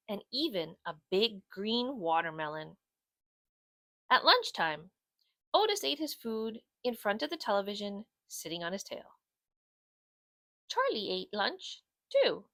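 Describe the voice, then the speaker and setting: A woman reading a children's picture book aloud as a storyteller, in an expressive, deliberate way. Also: on the high side, gravelly, dry recording.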